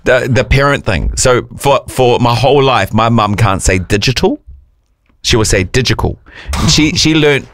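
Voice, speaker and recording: A man's voice: mid-range voice, moderate pace, fairly bright tone, no echo. A man hosting a breakfast radio show, laid-back and joking with his co-hosts. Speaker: radio host. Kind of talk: casual breakfast radio chat.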